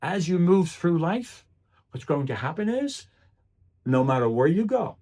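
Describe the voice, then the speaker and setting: Husky, highly animated male motivational speaker. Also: measured pace. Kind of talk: rehearsed motivational speech.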